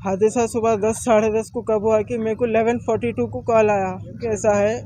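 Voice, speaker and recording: A young man talks to the press on an outdoor street into a bunch of news microphones. His voice sits high and stays flat, with a soft, mellow tone. He speaks haltingly, repeats himself, and slips English words into his speech. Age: young adult. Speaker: bereaved brother giving a statement. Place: outdoors on a street before a crowd. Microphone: cluster of press microphones.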